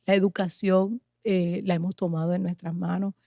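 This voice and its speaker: A woman's voice: fairly low pitch, level tone, normal speed, vocal fry, mellow, no echo, clean studio sound. A woman in her forties, a pastor and mother, answering interview questions in an earnest, emphatic way. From Puerto Rico. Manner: earnest, emphatic, lists with building rhythm.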